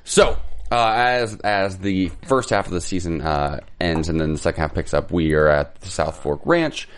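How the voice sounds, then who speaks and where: A hoarse man hosting a casual TV recap chat, joking with his co-hosts. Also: low-pitched, expressive. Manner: joking, quick asides. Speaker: host.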